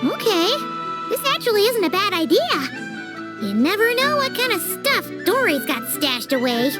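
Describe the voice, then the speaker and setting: Very high-pitched, plucky little girl. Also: sing-song, moderate pace. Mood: hopeful, candid. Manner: childlike, casual, feisty. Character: plucky, blunt young girl inventor, shop boss. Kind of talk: video game character dialogue. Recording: music playing behind.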